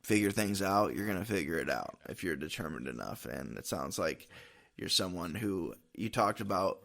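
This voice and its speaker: A man's voice: low voice, expressive, normal speed, vocal fry, a bit bright. A man hosting a podcast, chatting casually and informally in a rambling way, as if thinking aloud. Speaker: podcast host. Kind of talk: casual interview chat.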